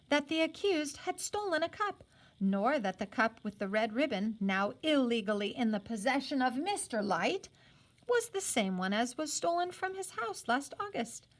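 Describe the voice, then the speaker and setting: High-voiced, very animated woman reading a story. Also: moderate pace. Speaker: teacher reading a book aloud. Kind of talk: expressive story read-aloud with brief explanatory asides.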